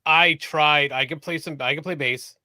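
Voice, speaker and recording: A man's voice: on the high side, steady tone, moderate pace, sharp tone, no echo. A man co-hosting a casual live-streamed podcast, chatty and enthusiastic about music, swearing casually. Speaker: podcast co-host.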